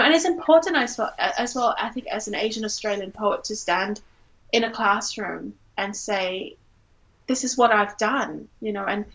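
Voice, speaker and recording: A woman's voice: medium pitch, a bit bright, low-quality recording. A woman from Singapore, a poet and teacher, talking reflectively as an interview guest and searching for her words. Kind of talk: reflective literary interview talk.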